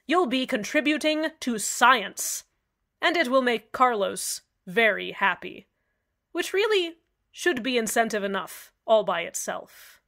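A woman playing a cheerful radio host with an eerily calm, sweet manner. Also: fairly high pitch, measured pace, exaggerated voice. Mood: smitten. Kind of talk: scripted radio drama narration.

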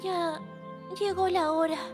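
Brainy cartoon girl, very high pitch, animated delivery, matter-of-fact, music behind. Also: slow pace. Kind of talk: animated children's series dubbing. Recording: music under the dialogue.

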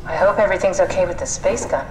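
An actress playing a brisk, matter-of-fact scientist companion in a sci-fi game, with a businesslike way of talking. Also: female voice, fairly low pitch, expressive, close to an everyday voice. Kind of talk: video game voice acting. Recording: echoey, noisy machinery ambience.

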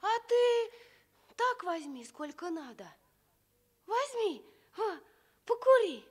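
High-pitched earnest little village girl. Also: very animated, slow, exaggerated voice. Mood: shy, generous. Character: small earnest village girl, shy and kind-hearted. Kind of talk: radio fairy-tale acting.

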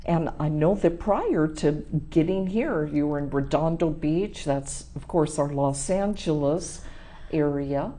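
A woman's voice: low-pitched, a little slow, warm tone. A woman hosting a TV talk show, interviewing a guest in a studio in an effusive manner. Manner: effusive, laughs often. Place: TV studio set.

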